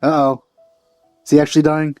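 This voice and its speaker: A man's voice: on the high side, completely flat delivery, a little fast, a little mellow, no echo, soft background music. A man giving casual, musing let's play commentary on a video game, with empathy. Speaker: let's player.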